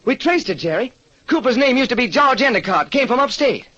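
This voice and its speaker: A man voicing a brisk, plain-spoken police lieutenant in an old radio detective drama. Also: extremely high pitch, very animated, sharp tone, pitched above the performer's own voice, heavily acted. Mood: businesslike. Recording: muffled, noisy old radio recording.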